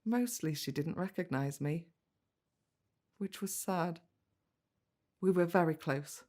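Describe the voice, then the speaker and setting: A woman's voice: fairly low pitch, takes it slowly, a little mellow. An actress playing a young woman in an audio drama, a granddaughter who narrates and talks with her family. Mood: reflective. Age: young adult. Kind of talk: first-person narration and dialogue in audio drama.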